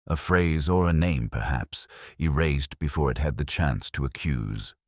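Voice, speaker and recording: A man reading a scripted first-person detective story as its narrator, in a measured, reflective, literary manner. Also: very deep voice, darkish tone, no echo, studio quality.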